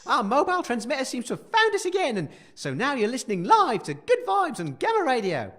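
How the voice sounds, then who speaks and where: An actor playing a giddy, cheerful post-apocalyptic radio host in a comic audio drama, chirpy, chuckling and excitable. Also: high-pitched, a little fast, heavily acted. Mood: giddy, upbeat.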